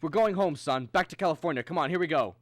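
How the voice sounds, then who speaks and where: A man's voice: a little high, expressive, quick pace, lightly acted. An actor playing an earnest husband and father who narrates his alien encounter in a 1950s radio drama style. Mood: urgent, frightened. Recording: old radio drama with music behind.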